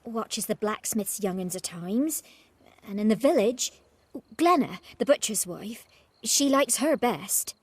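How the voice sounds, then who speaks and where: A woman playing a worried, exasperated young peasant villager in a video game, her voice husky. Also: medium pitch, expressive, a little slow, no echo.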